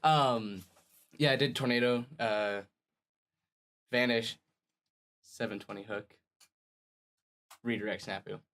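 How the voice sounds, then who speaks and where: A man's voice: mid-range voice, steady tone, takes it slowly, pauses often, a bit bright. Playful man in his twenties. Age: 20s. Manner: playful, chatty, laughs between lines. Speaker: guest. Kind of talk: casual chat.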